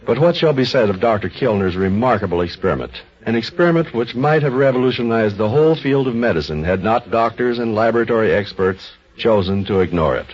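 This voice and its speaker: A man delivering formal scripted narration as a 1950s radio host, in a grave, rhetorical and measured manner. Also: fairly low pitch, animated. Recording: muffled vintage radio recording.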